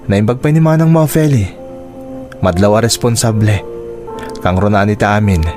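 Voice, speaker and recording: A man's voice: lowish voice, a little mellow, natural voice. A man playing a blunt, scolding character in a melodramatic radio drama, with an Ilocano accent. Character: blunt, scolding man confronting a neglectful mother.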